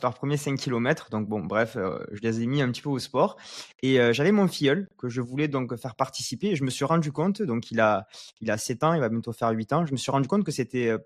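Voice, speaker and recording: A man with a mid-pitched voice hosts a podcast. He talks conversationally, and the sound is dry, with hardly any room around it. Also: no echo. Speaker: podcast host.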